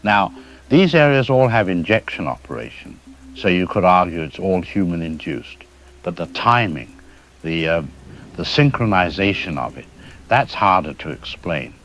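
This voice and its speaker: An actor playing a calm, middle-aged seismologist who explains things carefully and hedges his words, as a guest in a news interview drama. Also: low voice, normal speed, slight background noise, exaggerated voice, low-quality recording. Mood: measured. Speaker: expert guest.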